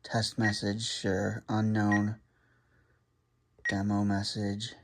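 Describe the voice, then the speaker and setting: A man's voice: fairly low pitch, completely flat delivery, drawn-out words. A man doing casual, hands-on narration of a gadget setup, thinking aloud as he reads items off and comments on them. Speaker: vlogger demonstrating a gadget.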